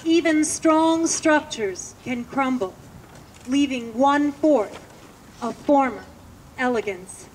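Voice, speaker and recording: A woman's voice: high-pitched, lively intonation, slow pace, poor audio. A woman giving a solemn poetry reading at an outdoor ceremony. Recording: faint outdoor background noise.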